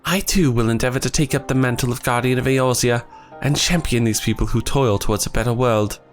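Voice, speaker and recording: A man reading the lines of an earnest young male scholar in his own voice, with formal, archaic phrasing and a voice that is going hoarse. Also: mid-range voice, fairly quick, soft background music, lightly acted. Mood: solemn. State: losing his voice.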